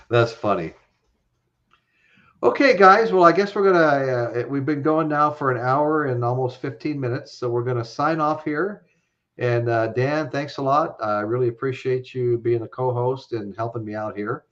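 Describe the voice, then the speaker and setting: A man's voice: medium pitch, moderate pace, a bit bright, muffled recording. A man hosting a casual live-stream chat, rambling with lots of fillers and frequent laughs. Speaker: host.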